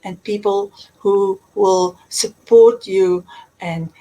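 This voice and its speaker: A woman's voice: mid-range voice, steady tone, slow pace, dark tone, rough recording. A woman with a South African accent, a coach giving earnest advice in an interview. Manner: earnest, advisory, repeats words. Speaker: guest, transformational coach. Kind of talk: interview answers, explaining.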